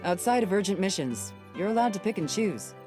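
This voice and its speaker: A woman's voice: mid-range voice, big pitch swings, a little fast, music in the background, close to an everyday voice. An actress playing an authoritative female squad captain in a video game, firm and matter-of-fact. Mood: reassuring.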